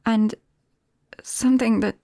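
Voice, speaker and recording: A shy, awkward vampire girl played by a woman with a British accent, in an intimate ASMR roleplay. Her voice is soft and mellow with a creaky edge, often sinking into a whisper. She speaks slowly and hesitantly, gentle and bashful with her best friend. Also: fairly high pitch, fairly even intonation, no room sound, studio quality. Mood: flustered.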